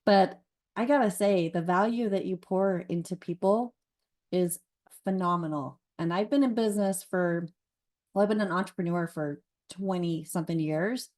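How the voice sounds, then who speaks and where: A woman's voice: lowish voice, moderate pace, darkish tone. A woman in her forties giving a chatty, gushing testimonial over a video call, laughing between thoughts. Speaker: partner and guest on a call. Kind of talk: casual testimonial. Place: car.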